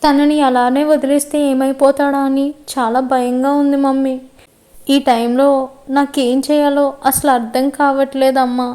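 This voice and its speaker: An actress as an anxious young woman of deep faith, stubborn and loyal. Her voice is high and softly mellow. She speaks in earnest, pleading tones, in the style of a web drama. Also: level tone, normal speed, natural voice. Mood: distressed, fearful. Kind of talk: web series drama acting.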